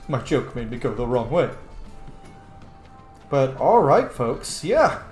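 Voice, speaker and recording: A man's voice: mid-range voice, expressive, slow pace, a little mellow, soft background music. Sweary male gamer, roomy sound. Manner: sweary, self-mocking. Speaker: gaming video host. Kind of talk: casual game commentary.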